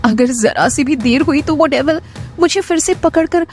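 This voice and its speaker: A woman's voice: sits high, moderate pace, faint music behind, pitched above the performer's own voice, natural voice. Woman acting a distraught, pleading young captive bride. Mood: panicked. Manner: anguished, pleading, emotional delivery. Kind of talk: Hindi romance audio drama acting.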